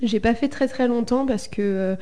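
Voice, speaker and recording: A woman's voice: on the high side, moderate pace, dark tone. A young woman from Germany, a singer in a folk duo, answering interview questions in a hesitant way with many fillers. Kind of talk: casual radio interview.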